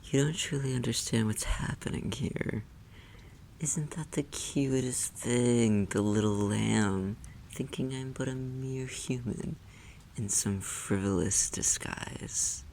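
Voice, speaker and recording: Possessive demon played by an ASMR roleplayer: condescending, formal, creaky delivery that often sinks to a whisper, over audible background noise. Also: medium pitch, slow, darkish tone. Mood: haughty, mocking. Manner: condescending, teasing, imperious, theatrically formal. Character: possessive, haughty demon toying with a human captive.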